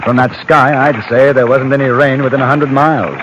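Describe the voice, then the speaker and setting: A man acting a wry, composed gentleman narrator in an old radio western, with measured, literate and dryly understated delivery. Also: medium pitch, fairly even intonation, background noise, close to an everyday voice. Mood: calm, reasoning. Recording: muffled old radio recording with music.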